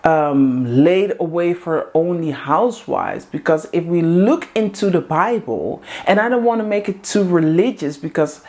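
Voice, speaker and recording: A woman's voice: on the low side, a little slow, hardly any pauses, darkish tone. A woman whose first language is Dutch, speaking English to camera as host of a women's group, earnest and repeating coaching lines. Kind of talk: motivational talk to camera.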